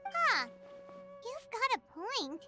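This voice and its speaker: A woman voicing a small, excitable, suspicious childlike fairy companion in an animated video game. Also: extremely high pitch, takes it slowly, over music, exaggerated voice. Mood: curious, wary. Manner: speaks of herself in the third person, exclamatory.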